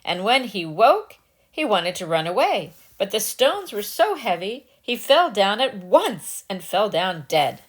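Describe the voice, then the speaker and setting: A woman's voice: medium pitch, sing-song, a little slow. A woman storyteller giving a dramatic scripted narration of a fairy tale.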